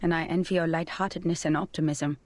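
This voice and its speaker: An actress playing a stern, serious female superior in a video game, speaking formally and gravely. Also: sits low, fairly even intonation, quick pace, darkish tone, dry recording. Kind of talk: video game character acting.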